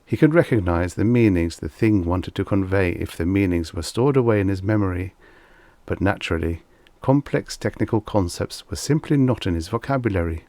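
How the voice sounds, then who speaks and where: A man reading a scripted short story as an audiobook narrator, in a measured, expressive storytelling manner. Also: low voice, dry recording.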